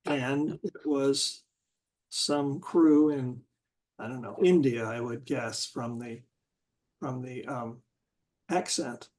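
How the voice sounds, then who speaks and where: A man in a casual group discussion, talking haltingly and searching for words with many restarts. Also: mid-range voice, fairly even intonation, darkish tone, rough recording.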